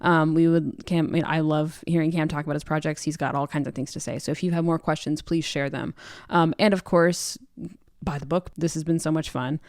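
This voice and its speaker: A woman's voice: low-pitched, steady tone, a little fast, a little mellow, no room sound, studio quality. A woman hosting a casual podcast interview in an enthusiastic, supportive and effusive manner.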